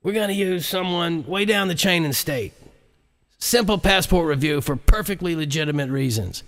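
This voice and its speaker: A man's voice: on the high side, normal speed, fairly bright tone, voice pushed up. A man voicing a coolly scheming vice president with a conspiratorial, matter-of-fact manner in an audiobook.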